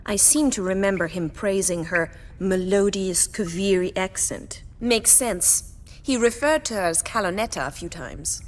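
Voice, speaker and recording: Actress as prim noblewoman, medium pitch, haughty, formal diction, arch, catty, fantasy video game. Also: measured pace, lightly acted. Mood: arch, informative. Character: prim, catty gentlewoman trading barbs with her sister.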